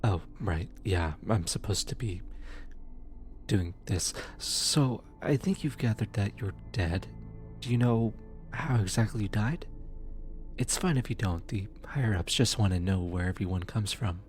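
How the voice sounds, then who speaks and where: A man playing a gentle, awkward demon soul collector in an ASMR audio roleplay, speaking softly, tenderly and a little haltingly to comfort the listener. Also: fairly low pitch, soft background music, natural voice. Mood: awkward, friendly. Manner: hesitant, halting, tender, casual.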